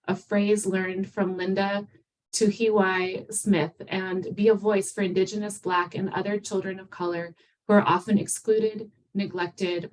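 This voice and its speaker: Female symposium host reading a prepared introduction with small slips; a little off-mic, mid pitch. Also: far from the mic, poor audio.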